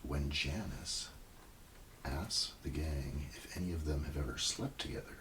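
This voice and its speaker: Very deep, low-key ASMR man. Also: a little slow, distant mic, background noise. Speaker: ASMR roleplay host. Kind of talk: ASMR, casual rambling roleplay.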